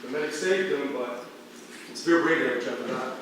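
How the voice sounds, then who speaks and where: An actor playing a matter-of-fact, wryly sympathetic hospital doctor in naturalistic stage dialogue. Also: male voice, medium pitch, fairly quick, close to an everyday voice. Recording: echoey distant stage recording, some noise.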